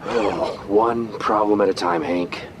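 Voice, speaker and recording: A man voices a sardonic billionaire leader with a fairly low voice who gives orders confidently. A clear noise bed runs behind him. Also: takes it slowly. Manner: sardonic, commanding. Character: cocky male billionaire hero leader.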